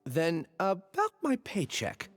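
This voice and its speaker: A man's voice: high-pitched, huge pitch swings, slow pace, fairly bright tone, soft background music, heavily acted. A man voicing a dazed, polite young researcher in a video game who has lost his memory, speaking in an earnest, apologetic, hesitant way.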